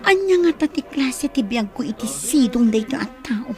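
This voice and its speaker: A woman's voice: on the high side, very animated, normal speed, over music. A woman playing an unhappy, resigned young bride in a radio drama, reluctant and plaintive. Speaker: actor in audio drama. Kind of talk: Ilocano radio comedy-drama acting.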